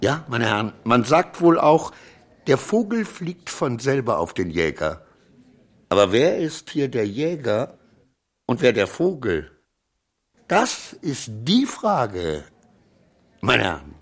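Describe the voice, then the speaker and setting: An actor in a radio play playing a flustered, proud, insecure minor clerk who rambles between pompous declarations and muttering. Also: male voice, mid-range voice, big pitch swings, slow, long pauses. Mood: defiant, pompous.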